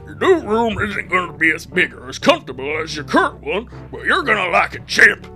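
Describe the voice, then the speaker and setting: A man's voice: high-pitched, sing-song, fairly quick, pushed and bright, soft background music, heavily acted. A man voicing a kindly, firm cartoon dad with a hearty, encouraging fatherly manner. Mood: hearty encouragement.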